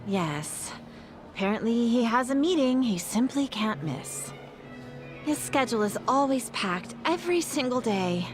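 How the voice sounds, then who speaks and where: Gushy chatty fan girl, high lively voice, music behind. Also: a little slow. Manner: chatty, gushing. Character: chatty female event attendee, starstruck fan.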